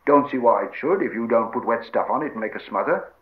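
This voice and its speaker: A man as gruff, animated hobbit servant Sam. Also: mid-range voice, quick pace, narrow, tinny audio, close to an everyday voice. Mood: stubborn, scolding. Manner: homely rustic phrasing, scolding. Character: plain-spoken, gruff, practical male hobbit servant, stubborn and bossy.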